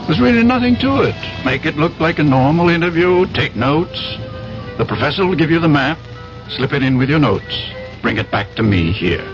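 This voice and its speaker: An actor playing a persuasive, commanding schemer, an importer and war veteran, in a 1970s radio drama. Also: male voice, on the high side, animated. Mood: calmly commanding. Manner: persuasive, self-assured, deliberate phrasing. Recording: dull old radio recording, faint music.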